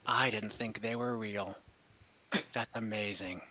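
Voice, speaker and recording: A man's voice: fairly low pitch, steady tone, measured pace, bright, forceful tone. A young man voicing a friendly, businesslike port merchant who chats in an easygoing, shop-talking way. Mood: genial. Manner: chatty, easygoing, talks shop. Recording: dull lo-fi video call audio.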